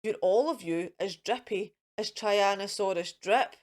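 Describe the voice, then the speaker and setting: A woman voicing a gruff adult male tyrannosaur father in a storybook, scoffing and scolding dismissively. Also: medium pitch, unhurried, voice pushed down, lightly acted. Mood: scornful.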